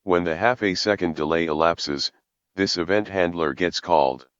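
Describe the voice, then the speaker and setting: A man presenting a scripted programming tutorial in a measured, explanatory way with formal wording. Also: low-pitched, fairly bright tone, clear, resonant tone, no echo, highs cut off.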